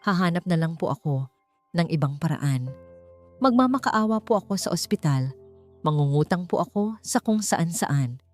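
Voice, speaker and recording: A woman's voice: fairly low pitch, expressive, normal speed, a little mellow, faint music behind, close to an everyday voice. Woman acting as young female housekeeper, anxious, humble, trembling, deferential, hesitant, audiobook drama. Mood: shaky, determined.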